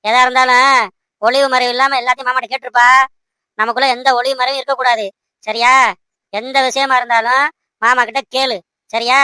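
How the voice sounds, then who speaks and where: Woman voicing an insistent, bright-voiced young cartoon man. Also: high-pitched, little pitch movement, brisk, pauses often, smooth, no echo. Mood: coaxing, curious. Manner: insistent, repeats short commands and questions. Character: young man, the heroine's fiancé, bossy and coaxing. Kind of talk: amateur cartoon dubbing.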